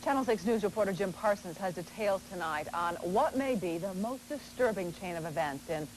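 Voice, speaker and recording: A female news anchor reading studio news in a crisp, formal way. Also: mid-range voice, lively intonation, moderate pace, a little hiss or hum, rough recording.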